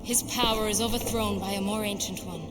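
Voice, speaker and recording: A woman's voice: mid-range voice, fairly even intonation, brisk, lightly acted. A woman playing a noble, solemn elven guide in a video game, speaking formally and portentously. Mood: solemn, urgent. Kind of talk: video game cutscene acting. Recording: long hall reverb, loud music behind.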